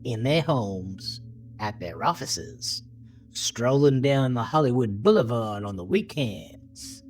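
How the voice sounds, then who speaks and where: Slow, dramatic male horror narrator. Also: mid-range voice. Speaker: narrator of an audio horror story.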